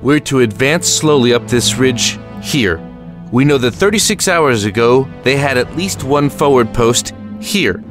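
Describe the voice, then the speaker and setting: An actor playing a resolute Allied airborne officer with a firm, rousing military manner in an audio drama. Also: male voice, medium pitch, animated, unhurried, lightly acted. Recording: music under the voice.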